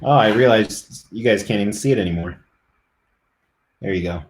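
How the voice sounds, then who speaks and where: A man's voice: fairly low pitch, moderate pace, slight background noise. Chatty middle-aged man, echoey room. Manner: rambling, chatty, talks to viewers. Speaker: live streamer. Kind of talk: casual live stream chat.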